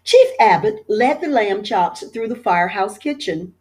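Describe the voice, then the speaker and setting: A woman, a teacher, reading a children's picture book aloud in an expressive storytelling manner. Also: medium pitch, a little slow, slight background noise.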